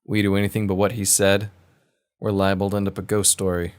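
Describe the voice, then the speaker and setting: A man acts out a grave, loyal companion in an audio drama. He speaks low and plainly, always respectful and earnest. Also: completely flat delivery, a little fast, smooth, natural voice. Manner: serious, plain-spoken, polite. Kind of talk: audio fiction character voice.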